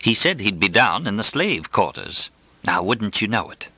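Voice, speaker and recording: An arch, disapproving mother in an audiobook, acted out by a male reader. The delivery is pointed and gossipy, with sarcastic stresses and lively swings in pitch, recorded dry and close. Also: moderate pace, slightly rough, dry recording, exaggerated voice. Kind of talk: audiobook character dialogue.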